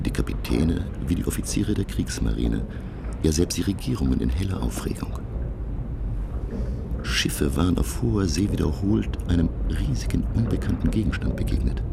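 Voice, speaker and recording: A scholarly professor recounting a sea adventure for a radio play. His voice is extremely low and full of creak, formal and measured but swinging into dramatic emphasis. Very noticeable noise runs behind him. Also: full character voice. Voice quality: gravelly. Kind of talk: radio play first-person narration, literary.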